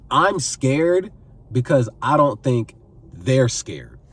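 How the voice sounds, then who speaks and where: Man in his 20s, husky voice with a wide swing in pitch, earnest repetitive advice-giver. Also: a bit bright, far from the mic. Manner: pleading and repetitive, repeats phrases for emphasis. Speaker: vlogger giving life advice. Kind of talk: earnest direct-to-camera advice.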